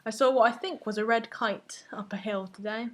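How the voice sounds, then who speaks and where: A woman's voice: a little high, fairly even intonation, normal speed, a bit bright. A woman hosting a live painting stream, chatting casually as she works, laughing often and sometimes losing her thread. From Finnish family. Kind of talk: casual chat while painting.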